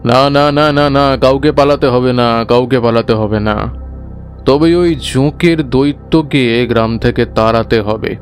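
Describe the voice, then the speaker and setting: Casting: man as earnest cartoon village schoolmaster, slow emphatic explainer, mid-pitched, faint music underneath. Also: natural voice. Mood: firm, instructive. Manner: emphatic, explanatory, repeating himself. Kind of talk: dramatized animated horror story.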